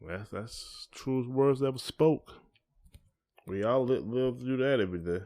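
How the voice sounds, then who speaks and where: A man's voice: medium pitch, measured pace, warm tone. A man co-hosting a podcast in casual conversation, with a folksy, colloquial way of talking. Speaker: podcast co-host.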